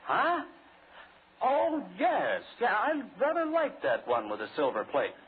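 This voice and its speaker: A nervy, exasperated man in a vintage radio play. His pitch leaps wildly as he exclaims warily, and the recording is tinny with a light hiss. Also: wildly swooping pitch, a little slow, heavily acted. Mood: uneasy, annoyed. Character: nervy, irritable radio man rattled by a stranger. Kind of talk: old-time radio drama acting. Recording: tinny, noisy old radio broadcast recording.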